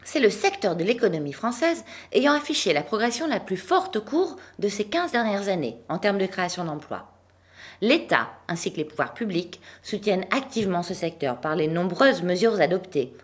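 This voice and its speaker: Woman news anchor, animated pitch, clear measured read, hosts interviews. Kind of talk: scripted news narration.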